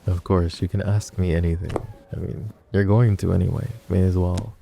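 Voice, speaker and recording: A man playing a possessive, protective crime-family husband in an intimate boyfriend roleplay, speaking in a gruff, wry, low-key murmur. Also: steady tone, normal speed, warm tone, a little hiss or hum. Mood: guarded, tender.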